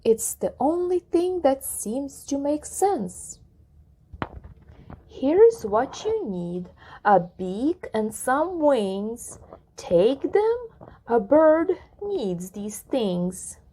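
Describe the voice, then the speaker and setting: Woman voicing a sly, animated flattering vulture. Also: high voice, drawn-out words, slight background noise, heavily acted, low-quality recording. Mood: persuasive. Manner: persuasive, gushing, rhyming. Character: sly, flattering male vulture coaxing with false encouragement.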